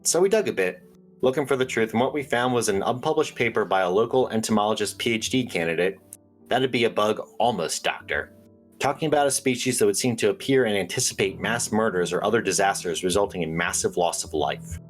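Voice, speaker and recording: An actor playing an earnest small-town radio host who speaks in a conspiratorial, hesitant way with dry asides. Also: male voice, fairly low pitch, fairly quick, soft background music, lightly acted. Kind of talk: audio drama radio call-in hosting.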